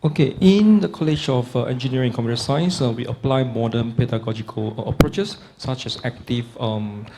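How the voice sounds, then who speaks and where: A man from an engineering college describing teaching methods in a halting way, with frequent fillers, on a video call. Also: medium pitch, heavy background noise.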